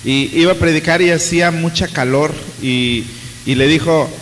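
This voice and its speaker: Joking radio host with reverb. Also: male voice, fairly high pitch, moderate pace, a little hiss or hum. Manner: joking, relaxed, with laughs and fillers. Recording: reverberant, faint music behind.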